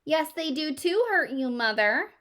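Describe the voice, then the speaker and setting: A woman's voice: high-pitched, lively intonation, unhurried, a bit bright, pitched above the performer's own voice. A woman voicing a dramatic, stubborn, frightened little girl from a storybook, in a childlike, emphatic way. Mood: insistent, hollering.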